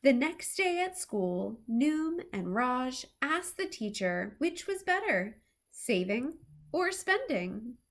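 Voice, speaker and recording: A woman reads a picture book aloud for young children. Her voice sits high and moves freely up and down, with an unhurried, carefully expressive storytime delivery.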